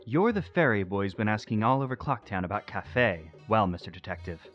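An earnest, gullible boy played by a woman speaks solemnly and theatrically, with big swings of pitch. It is cartoon game-parody acting with music underneath. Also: lively intonation, fairly quick, highs cut off. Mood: solemn, dramatic. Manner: melodramatic, solemn. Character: earnest, dramatic, gullible boy having identity crisis.

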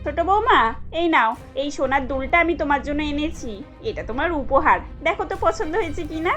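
A woman's voice: sits high, lively intonation, fairly quick, a bit bright, faint music behind. A woman voicing a firm older mother-in-law in a cartoon, speaking emphatically. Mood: fond. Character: firm older mother-in-law favouring her younger daughter-in-law. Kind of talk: cartoon dialogue.

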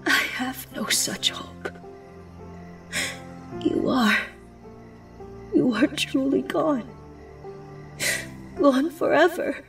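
A woman's voice: high-pitched, animated, very slow, long pauses, exaggerated voice. A woman playing a grieving, remorseful pony princess of the night in a fan audio drama, speaking formally and often near a whisper. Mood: tearful longing. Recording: distant, music underneath.

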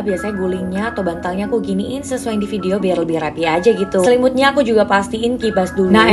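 A young adult woman, chatting casually like a vlogger and mixing in bits of English. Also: medium pitch. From Singkawang, Indonesia. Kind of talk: casual vlog chat mixing Indonesian and some English. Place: apartment bedroom, indoors. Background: background music.

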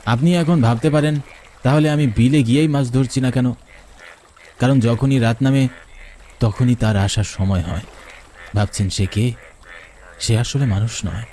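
Guy telling a spooky story, soft dark tone, dramatic, some water noise behind. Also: mid-range voice. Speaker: narrator. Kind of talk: first-person scripted horror story narration.

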